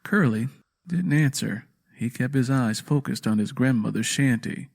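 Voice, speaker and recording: A man's voice: mid-range voice, expressive, moderate pace, vocal fry. A man narrating a scripted audio drama in a storytelling style.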